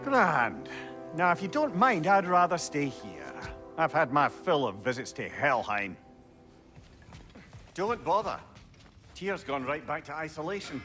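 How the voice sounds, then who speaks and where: An actor playing an old, sardonic talking head who swears freely. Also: male voice, a little high, very animated, sharp tone, soft background music, exaggerated voice. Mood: wry. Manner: sardonic, swearing, quick-witted.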